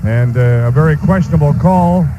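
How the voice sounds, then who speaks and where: American guy reporting from the sideline, slightly high voice, noisy crowd sound behind him. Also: moderate pace. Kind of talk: conversational live radio report. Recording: dull noisy sideline radio feed. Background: stadium noise.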